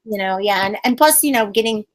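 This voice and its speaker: Woman, middle-aged, puppeteer, animated storyteller, medium pitch. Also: fairly quick. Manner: bubbly, animated, acts out quotes in high voices. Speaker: puppeteer, interview guest. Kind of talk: casual interview storytelling.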